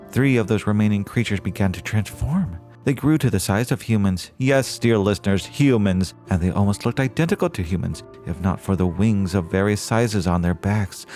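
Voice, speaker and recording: A man playing an excitable, earnest small-town radio reporter with a florid, theatrical way of narrating. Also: lowish voice, lightly acted. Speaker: host and narrator of an audio drama. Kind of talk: old-time radio style dramatic narration.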